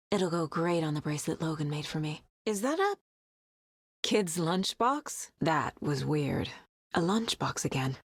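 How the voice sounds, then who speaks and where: A woman's voice: sits low, husky, no echo, studio quality, exaggerated voice. An actress playing a composed, inquisitive woman investigator in a video game, musing to herself in an understated way. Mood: pleased, then puzzled.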